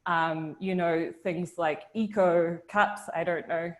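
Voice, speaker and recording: A woman's voice: on the low side, fairly even intonation, takes it slowly. A young woman from New Zealand on a video call, talking in a thoughtful and hesitant way with many restarts and fillers. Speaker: discussion participant. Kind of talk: casual video-call discussion.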